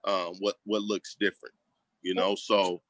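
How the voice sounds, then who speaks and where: Casting: American man, community advocate, bright punchy voice, dry close sound, casual but emphatic interview talk. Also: low voice, flat delivery, unhurried, no room sound. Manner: emphatic, colloquial, repeats phrases for emphasis. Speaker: school district equity staff member and community advocate.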